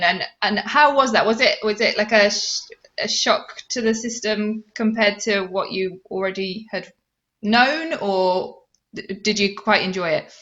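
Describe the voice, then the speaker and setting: A woman from northern England hosting a podcast interview, asking questions in a relaxed, friendly way. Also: on the high side, lo-fi sound. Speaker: podcast host. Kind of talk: casual interview.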